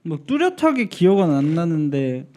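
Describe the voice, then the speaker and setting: A man in his thirties hosting a podcast, soft-spoken and steering the talk with easygoing questions. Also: on the high side, sing-song, normal speed. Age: 30s. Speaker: podcast host. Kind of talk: casual chat.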